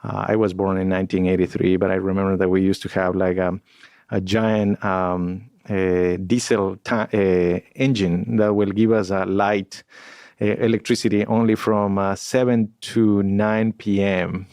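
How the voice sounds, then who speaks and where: Low gravelly man. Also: level tone, unhurried, darkish tone, no echo, studio quality. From Ecuador. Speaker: guest scientist. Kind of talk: podcast interview.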